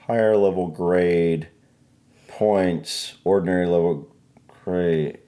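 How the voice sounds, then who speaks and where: A man's voice: low-pitched, steady tone, slow, mellow. An American man co-hosting a reaction video, easygoing and thinking aloud as he chats. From United States. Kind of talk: casual reaction chat.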